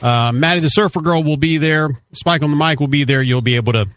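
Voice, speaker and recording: A man's voice: fairly high pitch, fast, fairly bright tone. A man hosting a call-in radio talk show in the studio, upbeat and chatty. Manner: upbeat, chatty, reads promos. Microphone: studio mic.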